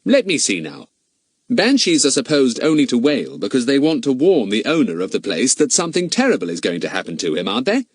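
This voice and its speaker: Storybook role: male reader as smart schoolboy detective, lively intonation, courteous, innocently cheeky, talkative. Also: medium pitch, a little fast, breathy edge, no room sound, studio quality, pitched above the performer's own voice. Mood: mock-innocent. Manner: polite, mock-innocent, talkative. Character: clever, cheeky, politely confident schoolboy sleuth.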